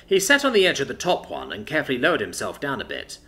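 A man reading a science fiction story aloud as its narrator, with a steady storytelling delivery. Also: medium pitch, fast.

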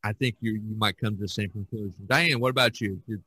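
Man, podcast host, bright forceful voice, emphatic storyteller, dry close sound. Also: on the low side, quick pace, slightly rough, no echo, low-quality recording. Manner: animated, emphatic storytelling with many restarts. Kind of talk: casual discussion.

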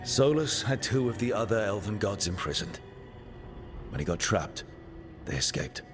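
Blunt young hero, low-voiced, matter-of-fact, music behind. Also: male voice, very animated, normal speed. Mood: grim. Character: blunt young man hero, team leader. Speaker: player character. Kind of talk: video game voice acting. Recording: game dialogue over clear music.

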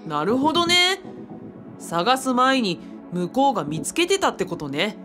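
Sardonic sidekick played by a woman, radio drama: fairly high, animated voice, teasing and exasperated, faint music behind. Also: unhurried. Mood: wry. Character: wry, teasing, exasperated companion of a spirit.

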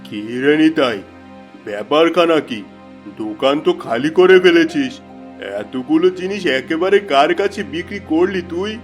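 Man playing highish-voiced exasperated father. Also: expressive, normal speed, lightly acted. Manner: questioning, irritable. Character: suspicious, exasperated shop-owner father. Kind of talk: cartoon moral-story dialogue. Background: background music.

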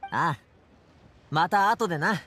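A man's voice: high-pitched, takes it slowly, bright, forceful tone, heavily acted. A man playing an earnest, bashful teenage son in an anime game, casual and boyish, with a hesitant manner. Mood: warm, upbeat. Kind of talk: Japanese anime game dialogue acting.

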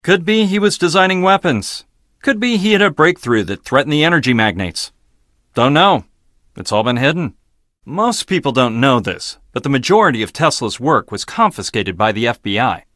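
Arrogant logical researcher, video game character, dismissive, pedantic, mid-pitched, lively intonation. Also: male voice, normal speed, dry recording, studio quality. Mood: dry, matter-of-fact. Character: arrogant, blunt, logical male research scientist.

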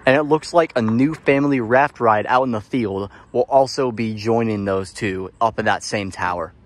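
A man's voice: mid-range voice, normal speed. A man narrating an update as a travel vlogger, talking in an informal, explanatory way. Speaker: vlogger, channel host.

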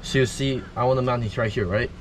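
A man's voice: mid-range voice, steady tone, quick pace. A man giving a casual, step-by-step how-to narration as he works with his hands. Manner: plain instructional, talking while working with his hands. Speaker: host of a DIY boat how-to video. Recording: clearly noisy on-location recording.

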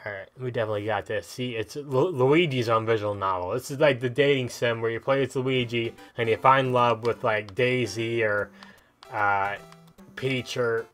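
A man's voice: mid-range voice, moderate pace, a bit bright. A man hosting a casual let's play, joking and rambling as he thinks aloud. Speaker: host of gaming videos. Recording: video game music behind the voice. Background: video game music.

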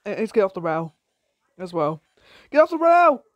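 A woman casually commenting on her own gameplay with a croaky voice, giggling and exclaiming often. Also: medium pitch, normal speed, dry recording, lo-fi sound. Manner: giggly, excitable, frequent laughs and exclamations.

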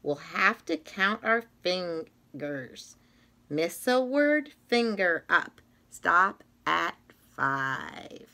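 A middle-aged woman reading a children's picture book aloud like a teacher, in an expressive, rhythmic sing-song manner with drawn-out phrases. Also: on the low side, vocal fry. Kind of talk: read-aloud of a children's story. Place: small indoor classroom-style room.

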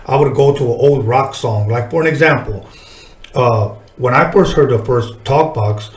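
A man's voice: mid-range voice, normal speed. Middle-aged male podcast host, echoey room. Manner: informal, profane, reminiscing. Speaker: podcast host, music artist. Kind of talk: casual interview chat. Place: small podcast studio, indoors. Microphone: desk podcast microphone.

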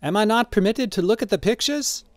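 Poor, proud old man, storybook drama. High, swooping voice, formal and full of protest. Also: lively intonation, brisk, dry recording. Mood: outraged. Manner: impassioned, protesting, formal phrasing. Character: outraged, proud, impoverished old man, defiant and wounded.

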